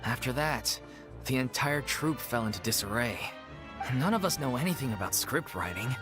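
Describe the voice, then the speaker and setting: A man's voice: medium pitch, close to an everyday voice. Man acting a weary, earnest troupe member, music behind. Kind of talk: video game voice acting. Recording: game music clearly behind voice.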